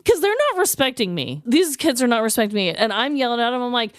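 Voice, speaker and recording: A woman with a fairly high, very expressive voice co-hosts a comedy podcast. She talks casually and swears freely, acting out dialogue as she goes, recorded close and dry. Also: fast talker, dry recording. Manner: animated, sweary, acts out dialogue. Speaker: podcast co-host. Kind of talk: casual comedic storytelling and banter.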